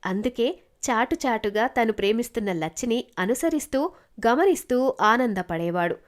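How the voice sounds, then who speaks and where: A woman's voice: on the high side, expressive, normal speed. A woman narrator reading a scripted moral story.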